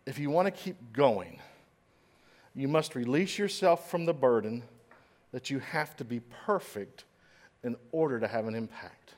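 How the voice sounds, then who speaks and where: A man preaching a sermon in a church, dramatic and swinging between loud and hushed, with a slight stutter at times. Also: mid-range voice, expressive, measured pace. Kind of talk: sermon storytelling. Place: church stage.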